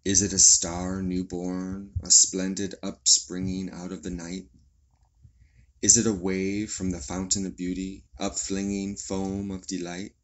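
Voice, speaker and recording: A man reading poems aloud in a solemn, deliberate way. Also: low voice, level tone, highs cut off. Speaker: poetry reader. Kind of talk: slow poetry recitation.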